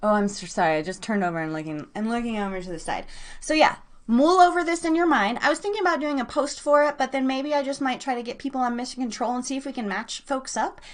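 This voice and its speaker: A woman's voice: high-pitched, quick pace. An American woman hosting a live internet radio show in a casual, chatty, bubbly way, speaking affectionately to her listeners. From United States.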